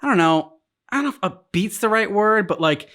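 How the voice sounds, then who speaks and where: A man hosting a reaction show, commenting casually in a rambling, halting way as he searches for words. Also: high-pitched, animated, a bit bright. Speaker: host of a game reaction show. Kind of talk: casual chat, commentary on game cutscenes.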